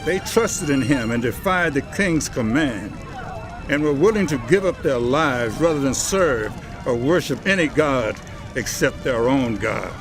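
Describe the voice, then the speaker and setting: A man's voice: medium pitch, lively intonation, measured pace, music in the background, close to an everyday voice. A man acting a proud, volatile Babylonian king in a dramatized audio Bible, speaking in a regal, declamatory way. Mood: solemn, exalting.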